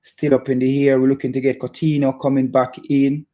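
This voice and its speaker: A man from Jamaica with a Jamaican accent, hosting a casual football fan chat in a halting, stop-start way and repeating his phrases. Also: medium pitch, flat delivery, warm tone. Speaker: football fan channel host. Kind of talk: casual chat to live viewers about football.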